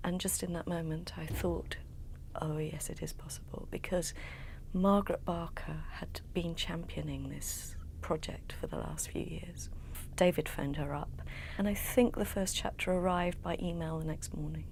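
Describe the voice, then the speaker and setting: A woman's voice: on the low side, fairly even intonation, moderate pace, gravelly, a little mellow, slight background noise. A woman talking in an interview as an artist explaining her work. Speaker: sound artist and composer. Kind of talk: interview talk.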